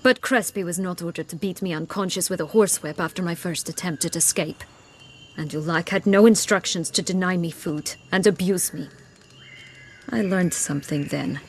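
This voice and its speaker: A woman's voice: lowish voice, moderate pace, a little hiss or hum, natural voice. An actress playing a bitter, sardonic banished noblewoman in a fantasy video game, speaking in formal, old-fashioned phrases with cool poise. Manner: formal archaic diction, cool and pointed.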